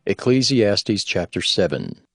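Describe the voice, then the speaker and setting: Very slow, low-voiced male announcer. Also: very animated, fairly bright tone, soft background music. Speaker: chapter announcer. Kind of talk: formal scripted announcement.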